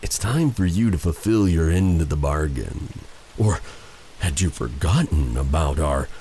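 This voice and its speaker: A man playing a vengeful, silky dark sorcerer in a fantasy ASMR roleplay, taunting and theatrical, with breathy dips toward a whisper. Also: very deep voice, lively intonation, slight background noise, full character voice. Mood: smug, teasing. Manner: taunting, theatrical, drawn-out delivery with purred endearments.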